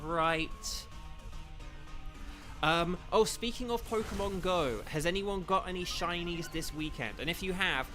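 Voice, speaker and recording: A young man streaming a game, chatting casually with his chat, rambling with lots of fillers, a bit croaky. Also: high voice, a little slow, sharp tone, faint music behind. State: slightly croaky from eating. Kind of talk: casual gaming livestream chat.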